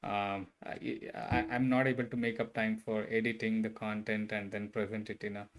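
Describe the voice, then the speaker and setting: A man talks to viewers on a coding live stream. His voice sits low and stays flat. He speaks hesitantly, with lots of fillers and restarts, but stays friendly throughout. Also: moderate pace. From Bangalore, India. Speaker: livestreaming software engineer. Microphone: desk mic set a bit far.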